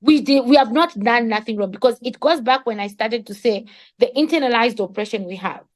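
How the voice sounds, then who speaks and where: A woman's voice: on the high side, a little fast. A woman who speaks English as a second language, giving an impassioned advocacy speech in an emphatic, rhetorical manner. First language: not English. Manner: emphatic, rhetorical questions, repeats words for emphasis. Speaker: speaker at a community summit.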